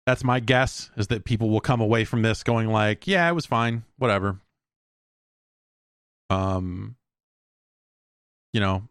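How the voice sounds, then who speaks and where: A middle-aged man hosting a podcast, giving casual solo commentary on news in a rambling, halting way with repeated words. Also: medium pitch, fairly bright tone, no room sound, studio quality. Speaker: podcast host.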